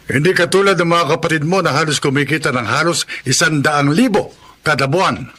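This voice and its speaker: A man's voice: fairly high pitch, fairly quick, pushed and bright, slight background noise. An actor playing a scornful older father who belittles his son in an audio drama. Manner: belittling, contemptuous. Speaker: father celebrating his birthday.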